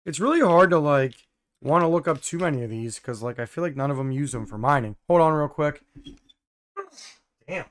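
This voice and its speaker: A hoarse young man hosting a casual live stream, reading viewer comments with many fillers and throat clearing. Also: a little high, expressive, normal speed.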